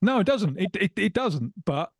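A man's voice: high voice, sing-song, moderate pace, slightly rough, dry recording, studio quality. A man on a radio panel, emphatic and dismissive, stumbling over his starts.

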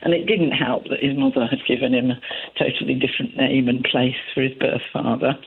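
A woman's voice: a bass voice, fairly even intonation, fairly quick, vocal fry, slight background noise. A woman from Leicester with an East Midlands accent, calling in to a late-night radio phone-in and chatting cheekily with little laughs. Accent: East Midlands English. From Leicester, England. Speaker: caller. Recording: muffled phone line.

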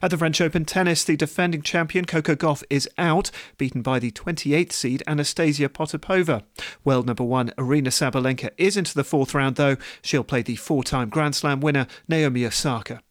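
A man reading a radio sports news bulletin in a brisk, even newsreader manner. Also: on the high side, no room sound, studio quality. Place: radio studio.

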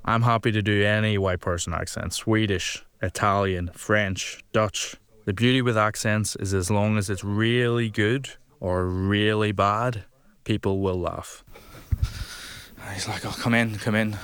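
A young man telling a stand-up comedy story to a live audience, with self-deprecating, deadpan asides. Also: fairly low pitch, measured pace, clear, resonant tone.

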